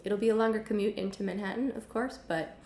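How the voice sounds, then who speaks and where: A young woman teaching a casual music lesson at home, hoarse from illness, chatty with many ums and the odd cough. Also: medium pitch, steady tone, quick pace, a little mellow, echoey room, slight background noise. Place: home room with piano and guitars.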